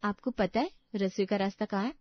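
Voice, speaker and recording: A woman's voice: mid-range voice, brisk, no echo, highs cut off, close to an everyday voice. A woman voicing an outspoken young daughter-in-law, teasing and sarcastic, in a cartoon story. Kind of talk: cartoon moral story voice acting.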